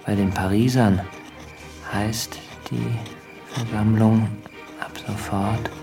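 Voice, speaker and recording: Slow, gravelly male narrator. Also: fairly low pitch, fairly even intonation, over music. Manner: deliberate, pausing between short phrases. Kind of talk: scripted radio drama narration.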